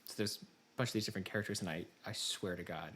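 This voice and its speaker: A man's voice: low-pitched, racing, fairly bright tone, a little hiss or hum. An American man hosting a podcast in casual conversation, talking in a wry, rambling way. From United States. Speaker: podcast host. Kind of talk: casual chat.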